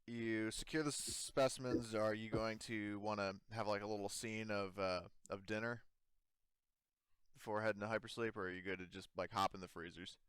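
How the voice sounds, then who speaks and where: Man, game master, slightly low pitch, smooth tone, dry recording, halting conversational improvised narration. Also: steady tone, a bit bright, no room sound.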